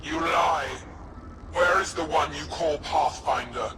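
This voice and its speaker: A man playing a cold, imperious alien overlord in a sci-fi video game, speaking in a measured, grandiose, clinical and menacing way. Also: a little high, heavy background noise. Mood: menacing interrogation. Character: cold, imperious male alien overlord studying captive specimens. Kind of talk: dramatic villain monologue in a sci-fi video game. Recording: loud music under the voice.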